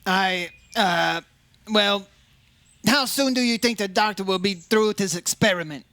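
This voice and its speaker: A man's voice: high-pitched, measured pace, bright, forceful tone, no echo, exaggerated voice. A man playing a straight-talking, inquisitive insurance investigator in an old-time radio detective drama, in plain, clipped phrases. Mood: probing, casual.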